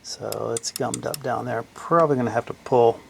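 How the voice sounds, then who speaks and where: A man giving casual hands-on repair commentary, haltingly thinking aloud as he works. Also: mid-range voice, steady tone, vocal fry. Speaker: repairer demonstrating his work. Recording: faint background noise.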